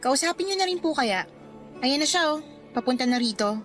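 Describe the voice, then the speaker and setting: A teenage daughter with a high voice and a Filipino accent. She is dutiful and polite but sounds defensive and a little sulky, in radio drama style over music. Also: expressive, fairly quick, fairly bright tone. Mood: subdued. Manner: polite, defensive, subdued sulking. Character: dutiful teenage schoolgirl daughter, quietly resentful.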